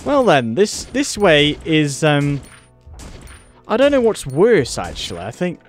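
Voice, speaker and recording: A man's voice: on the high side, huge pitch swings, slow pace, soft background music. Wry British man commenting on gameplay. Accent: British English. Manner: chatty, wry, laughing at the game. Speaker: let's play host.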